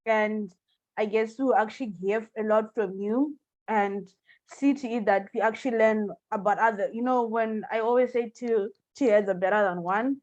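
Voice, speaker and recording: A woman's voice: a little high, normal speed, darkish tone. A woman from Zimbabwe, a mental health and epilepsy advocate, speaking passionately on a panel call. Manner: passionate, emphatic. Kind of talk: panel discussion on video call. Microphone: video call. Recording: dull video call audio.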